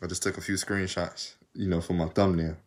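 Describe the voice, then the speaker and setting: A man's voice: deep voice, level tone, brisk. A young man with a slight Southern accent, rambling casually to his phone camera in a small room, laughing often and a bit tired from undoing his braids. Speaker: vlogger. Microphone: phone camera at arm's length.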